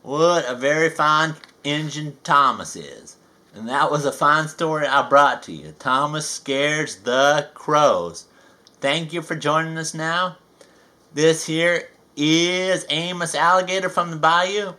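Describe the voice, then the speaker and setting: Man, children's storybook narrator, playful asides, slow, bright. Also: on the high side. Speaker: storybook reader.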